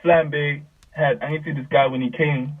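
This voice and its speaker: A young man with a South African accent tells stories in loose, slangy talk. His voice is husky, and it comes through thin and phone-like, a bit far from the mic, as on a video call. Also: on the high side, moderate pace. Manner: slangy, animated retelling with quoted dialogue. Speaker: music artist, interview guest. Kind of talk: casual storytelling chat.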